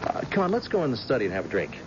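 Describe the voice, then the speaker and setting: A middle-aged blind former policeman, proud and jovial. His hearty voice sits at an ordinary male pitch and moves in lively swings. It is old radio drama, dull-sounding with clear background noise. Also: racing. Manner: hearty, chuckling, interjecting. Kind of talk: vintage American radio drama acting.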